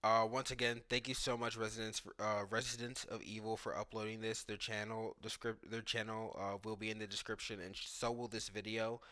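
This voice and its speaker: A man's voice: fairly low pitch, level tone, brisk, hardly any pauses, bright, forceful tone. A man giving casual reaction commentary on video game footage, talking informally and thinking aloud as a gaming YouTuber.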